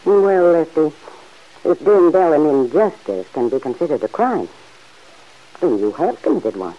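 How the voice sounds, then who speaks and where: A woman playing a kindly middle-aged farm aunt who gently chides the man in her home, in 1940s radio acting. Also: low-pitched, big pitch swings, heavily acted. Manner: gently chiding, motherly, quick to laugh. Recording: dull old radio recording.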